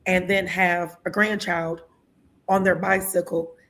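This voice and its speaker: A woman's voice: lowish voice, little pitch movement, unhurried, a bit bright. A woman in her sixties hosting an interview show, chatty and laughing often. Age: 60s. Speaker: host. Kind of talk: casual interview talk.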